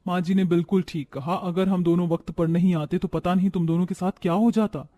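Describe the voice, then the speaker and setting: High-voiced dutiful elder sister-in-law played by a man. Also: steady tone, brisk, mellow, no room sound, lo-fi sound, natural voice. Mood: reproving.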